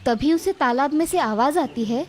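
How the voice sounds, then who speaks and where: A woman's voice: high-pitched, normal speed, slight background noise. A woman narrator reading a scripted moral story for children.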